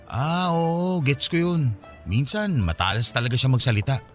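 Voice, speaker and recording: A man's voice: mid-range voice, lively intonation, moderate pace, fairly bright tone, soft background music, close to an everyday voice. An actor playing an easygoing, unromantic young man talking casually with a friend in a Filipino radio drama. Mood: easygoing, earnest.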